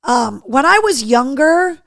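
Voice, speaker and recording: Female livestream host, middle-aged, somewhat high voice with a slight hoarseness, candid and cheeky chat, close dry sound. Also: expressive, unhurried, dry recording. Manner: candid, cheeky, mildly sweary. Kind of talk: casual live chat to viewers, reading out comments.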